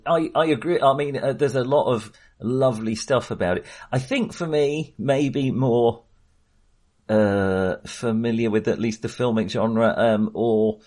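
A man's voice: medium pitch, darkish tone. A man in a casual film discussion as a podcast host, talking in a rambling way that often qualifies itself.

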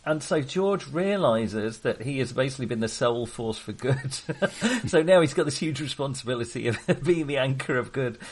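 A man chats casually about films as a podcast co-host. His voice sits at an average pitch, and he thinks aloud, hesitating and breaking off to rephrase as he goes. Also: animated, normal speed, hardly any pauses. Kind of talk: casual film discussion.

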